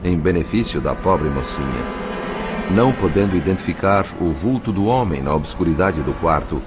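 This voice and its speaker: Deep-voiced Brazilian man narrating solemnly. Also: animated, moderate pace. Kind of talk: scripted dramatic first-person narration of an audio novel. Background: music.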